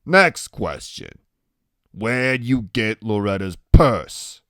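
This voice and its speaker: A man acts out a menacing biker gang boss in an audiobook. He is big, curt and commanding, with a mocking edge and lively swings in pitch, recorded very dry. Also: takes it slowly, dry recording, exaggerated voice. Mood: interrogating.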